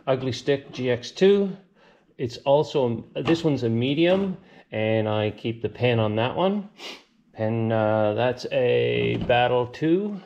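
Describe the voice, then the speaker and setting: Man, mid-pitched voice, casual fishing vlog narration, folksy, halting, noticeable background noise. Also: darkish tone, muffled recording. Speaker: fishing channel host. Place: garage, outdoor beach and shoreline, varied spots.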